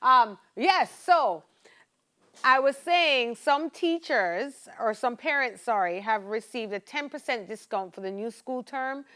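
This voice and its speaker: A woman's voice: on the high side, expressive, slow pace, pushed and bright. A Jamaican woman hosting a morning show, asking questions in a chatty, direct way. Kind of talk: conversational interviewing.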